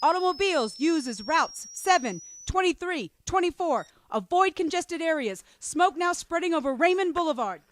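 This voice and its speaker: An urgent radio operator in an old-time radio play. Her voice is high, clipped and insistent as she puts out calls. There is faint noise on the broadcast. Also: lively intonation, normal speed, few pauses. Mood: urgent warning. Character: urgent female radio operator calling out emergency warnings. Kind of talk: radio drama acting.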